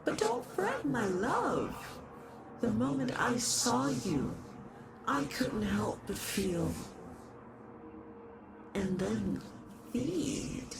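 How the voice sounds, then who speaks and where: A performer voicing a lovesick shapeshifting horror in a roleplay monologue, doting and possessive, halting, with soft menace in the endearments. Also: mid-range voice, big pitch swings, noisy background, exaggerated voice. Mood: possessive, confessional. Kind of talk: dramatic roleplay monologue addressed to the listener.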